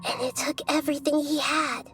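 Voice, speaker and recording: A woman voicing a gentle, wise, childlike young goddess in a video game, with a thoughtful, articulate delivery. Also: high voice, fairly even intonation, fairly quick, over loud music, natural voice. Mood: concerned, puzzled.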